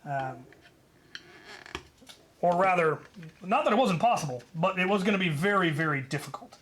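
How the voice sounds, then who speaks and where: A man telling a story in a casual, rambling way as host, leaning deliberately on his key points. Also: high voice, a bit bright.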